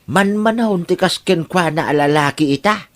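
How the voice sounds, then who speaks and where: A man's voice: a little high, normal speed, a bit bright, close to an everyday voice. A man playing a chatty, teasing older father-in-law in an Ilocano audio drama, with a Filipino Ilocano accent. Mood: teasing, approving.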